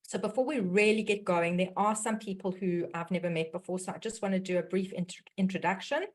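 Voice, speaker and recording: A woman's voice: on the low side, brisk. A woman with a South African accent, from South Africa, hosting a webinar and talking in long, earnest, flowing sentences. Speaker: webinar host, dietitian. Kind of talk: webinar introduction.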